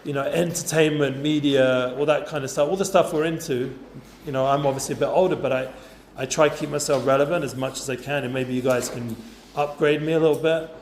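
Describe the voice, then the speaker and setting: A man, speaking to a group of students in a loose, chatty way full of asides. His voice sits at a middle pitch. It is recorded in a roomy, echoey space over a faint hiss. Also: fairly even intonation, fairly quick, few pauses. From North London, England. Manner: chatty, informal, lots of fillers and asides. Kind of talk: casual talk to a youth group.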